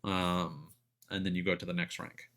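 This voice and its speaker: A man giving casual, explanatory livestream commentary on a video game, thinking aloud with hesitant pauses. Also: low-pitched, flat delivery, fairly bright tone. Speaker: video game live streamer. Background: music behind the voice.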